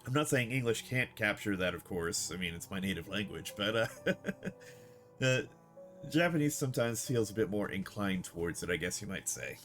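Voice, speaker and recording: A man streaming a game live, translating Japanese as he goes, relaxed and thinking aloud with many fillers. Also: on the low side, normal speed, faint music behind.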